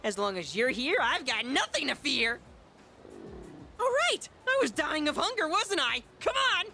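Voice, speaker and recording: Bratty young believer, high, animated, excitable, taunting, insecure, video game acting. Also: female voice, moderate pace, soft background music, full character voice. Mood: triumphant.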